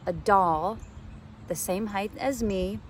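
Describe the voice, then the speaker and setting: A woman's voice: mid-range voice, slow, a little hiss or hum. A woman reading a children's novel aloud like a teacher, with dramatic, suspenseful expression. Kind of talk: expressive storybook read-aloud.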